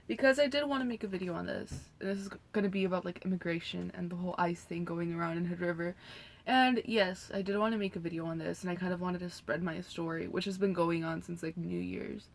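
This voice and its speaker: A woman's voice: lowish voice, vocal fry, fairly bright tone. A young woman talking casually to camera, earnest and reassuring, with loose, filler-heavy phrasing. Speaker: vlogger. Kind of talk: casual direct-to-camera talk.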